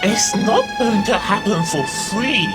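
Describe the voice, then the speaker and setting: A woman's voice: mid-range voice, expressive, a little slow, lightly acted. A woman voicing a haughty, greedy and volatile female fiend in a video game, with a theatrical, taunting delivery. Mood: sly bargaining. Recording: game music under the voice.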